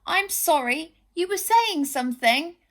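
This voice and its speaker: A woman's voice: very high-pitched, expressive, measured pace, a bit bright, pitched above the performer's own voice, exaggerated voice. A woman voicing an enormous but polite, friendly mother monster in a storybook, with courteous manners. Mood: kindly, apologetic. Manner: courteous, genteel. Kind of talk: children's storybook character voice.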